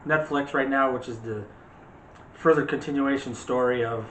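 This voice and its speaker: A man's voice: medium pitch, fairly even intonation, normal speed, fairly bright tone. Chatty guy hosting a movie chat vid, bit far from the mic, echoey room. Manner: chatty, addresses viewers directly. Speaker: video host. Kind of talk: casual movie chat to viewers. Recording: roomy, noisy, off-mic home recording.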